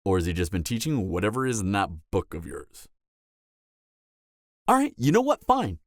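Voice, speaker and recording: A man's voice: on the low side, wildly swooping pitch, moderate pace, dry recording, studio quality. A man playing a cocky, flirtatious vampire mentor in an audio roleplay, lecturing in a teasing, sardonic way. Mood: scornful, cocky. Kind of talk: dramatic audio roleplay acting.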